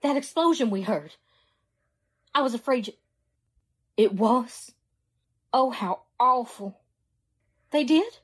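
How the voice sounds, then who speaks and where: A woman's voice: fairly high pitch, lively intonation, slow, long pauses, breathy edge, exaggerated voice. An actress playing a worried, grave small-town wife and mother in a 1940s-style radio drama. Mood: anxious, relieved.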